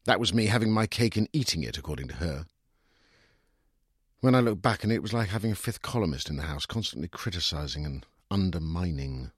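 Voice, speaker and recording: A man reads literary fiction in the first person, his voice on the low side and slightly rough. The delivery swings widely, aggrieved and self-justifying with a dry edge. The recording is close and dry, with no room sound. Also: lively intonation, fairly quick, no room sound. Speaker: short story narrator.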